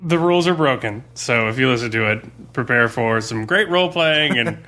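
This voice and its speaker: A man's voice: mid-range voice, animated, normal speed, pushed and bright. A man co-hosting a gaming podcast, telling a wry, casual anecdote as a game master among friends.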